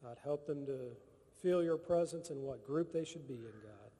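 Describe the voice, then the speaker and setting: Dark-voiced male chaplain praying in a hall. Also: medium pitch, moderate pace. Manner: fervent, repetitive calls to God. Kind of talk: public prayer. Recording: long reverb of a large hall.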